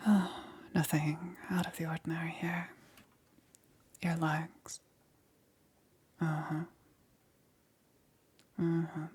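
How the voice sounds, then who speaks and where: A woman playing a soft-spoken, secretive witch in an intimate ASMR roleplay, soothing and hesitant, often dropping into a whisper. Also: low voice, flat delivery, slow pace, pauses often, full character voice. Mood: careful, attentive. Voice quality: breathy, husky, often whispering.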